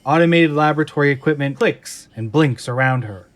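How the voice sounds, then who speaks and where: A man's voice: fairly high pitch, brisk. A man reading scripted dramatic narration for an audio drama in a suspenseful storytelling manner. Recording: music and effects behind narration.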